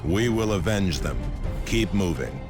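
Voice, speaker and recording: A man's voice: sits low, expressive, measured pace, over music. A man playing a stern, dutiful veteran space soldier in a video game, with clipped military delivery. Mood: wary, resolute.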